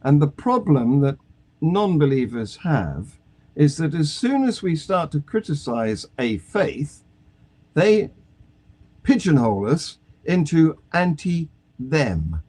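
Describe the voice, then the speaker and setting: Slow, lively-voiced male host. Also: a little high. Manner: self-deprecating, wry, chuckling. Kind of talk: casual chat, hosting.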